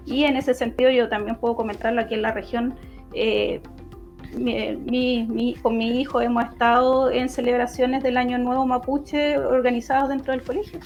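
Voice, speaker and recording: Halting Chilean woman, higher-pitched, dull audio. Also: flat delivery, a little mellow. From Los Ríos region, southern Chile. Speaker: political candidate and law lecturer. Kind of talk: spoken interview answers in an online debate. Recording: dull lo-fi online audio, music behind.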